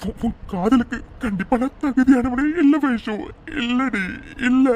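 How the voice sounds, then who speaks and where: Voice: medium pitch, a little slow, darkish tone, lightly acted. A performer voicing a remorseful young man apologizing over and over to the woman he is leaving. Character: remorseful young man breaking up with his fiancée.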